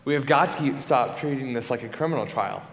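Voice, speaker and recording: A man's voice: medium pitch, fairly quick, a little hiss or hum, lightly acted. A man playing a blunt, casual, incredulous juror in an amateur stage play. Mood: exasperated. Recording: echoey hall, long reverb.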